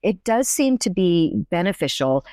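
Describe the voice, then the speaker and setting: A woman's voice: fairly low pitch, sing-song, moderate pace, warm tone, no echo, clean studio sound. A woman professor and native English speaker, explaining her research in a conversational podcast interview, thoughtful with frequent restarts.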